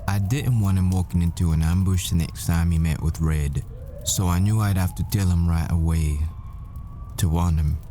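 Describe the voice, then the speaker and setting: A man playing a world-weary, foul-mouthed assassin boyfriend in a dramatic audio roleplay, brooding and deliberate in his storytelling. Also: low-pitched, fairly even intonation, mellow, a little hiss or hum, close to an everyday voice. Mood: rueful, confessional.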